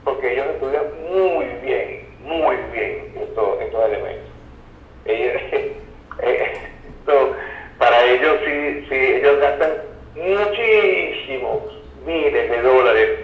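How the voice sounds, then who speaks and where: A Venezuelan man speaking as an interview guest, explaining analytically in a halting, didactic way and checking that he is being followed. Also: mid-range voice. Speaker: interview guest, communication researcher. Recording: roomy, distant, dull sound, faint noise.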